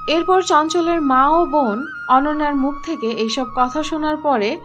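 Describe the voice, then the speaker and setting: High-voiced woman narrating a fairy tale. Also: a little slow, fairly bright tone, lo-fi sound, soft background music. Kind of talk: scripted storybook narration.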